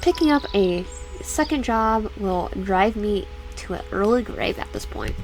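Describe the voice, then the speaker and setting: A woman's voice: medium pitch, expressive, darkish tone, soft background music, rough recording. A young adult woman reading a horror visual novel aloud, stumbling and restarting often, then chatting to her viewers. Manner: halting reading with many restarts, chatty asides. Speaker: gaming YouTuber.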